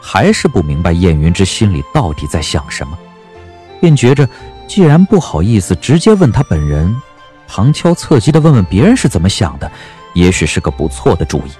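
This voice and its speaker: A male audiobook narrator with a fairly low voice. He tells the story in measured, expressive phrases with lively intonation, over faint music. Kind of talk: scripted dramatic storytelling narration.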